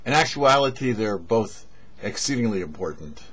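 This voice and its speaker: A middle-aged man, a rabbi, giving a short religious teaching in a measured, explanatory way with deliberate pauses. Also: fairly low pitch, expressive, a bit bright, a little hiss or hum. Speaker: rabbi and show host. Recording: dull, lo-fi webcam-style audio.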